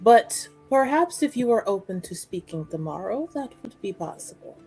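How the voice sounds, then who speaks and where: A woman playing a courteous, diplomatic noblewoman in a tabletop game, with measured, tactful phrasing. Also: mid-range voice, sing-song, a little mellow, husky, faint music behind, poor audio. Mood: politely evasive.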